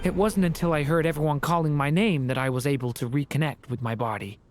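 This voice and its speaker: An actor voicing a gentle, earnest, hesitant young man in a video game. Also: a little high, fast talker, slight background noise, natural voice. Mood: subdued, earnest. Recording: background music under voice.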